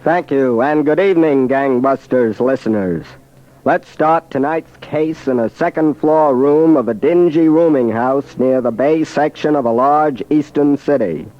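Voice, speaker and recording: A man's voice: mid-range voice, measured pace, a little mellow. American guy reading a scripted crime story, old time radio, muffled recording. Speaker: guest narrator.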